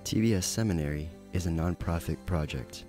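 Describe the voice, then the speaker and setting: A man reading a scripted promotional voice-over as announcer, in a smooth, read style. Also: low-pitched, fairly quick, warm tone. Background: music.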